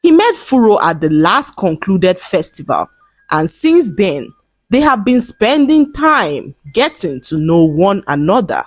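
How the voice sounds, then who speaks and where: A woman tells a folktale at an unhurried pace, her breathy voice rising and falling with lively pitch. The sound is close and dry. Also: no echo. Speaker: storyteller. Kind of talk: scripted folktale narration.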